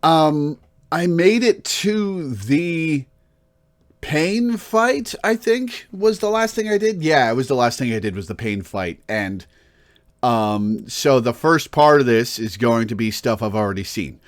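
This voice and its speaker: A man's voice: medium pitch, unhurried. A man hosting a livestream in a casual, rambling way, musing and thinking aloud as he goes. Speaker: livestream host. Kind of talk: casual rambling stream chat.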